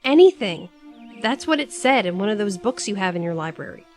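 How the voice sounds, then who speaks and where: An actress playing a curious young travelling companion in an audio drama, with an inquisitive manner. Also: female voice, medium pitch, big pitch swings, brisk, faint music behind, lightly acted. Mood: questioning.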